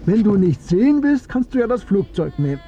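Serene old shopkeeper, very mellow. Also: male voice, high-pitched, very animated, dull audio, a little hiss or hum. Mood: gently chiding. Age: older. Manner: unhurried, philosophical, aphoristic. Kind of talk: radio drama acting.

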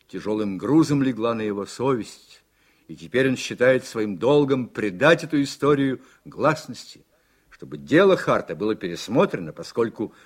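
A man narrating a radio play in measured, articulate scripted storytelling. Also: medium pitch.

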